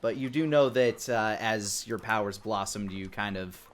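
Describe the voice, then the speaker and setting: A man running a live tabletop game as game master, narrating scenes descriptively with halting delivery. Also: fairly low pitch, a little hiss or hum.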